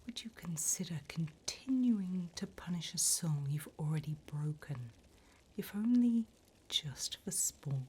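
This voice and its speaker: Low whispering demon interviewer played by a woman. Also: lively intonation, a little slow, mellow, exaggerated voice. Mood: coolly probing. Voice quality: breathy whisper. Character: female demon job interviewer in hell, wickedly amused, businesslike. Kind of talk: whispered ASMR roleplay.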